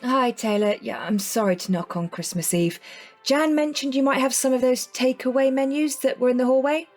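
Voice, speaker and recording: An actress playing an awkward, apologetic neighbour, polite and self-effacing, in a naturalistic audio drama. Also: female voice, high-pitched, normal speed, faint music behind.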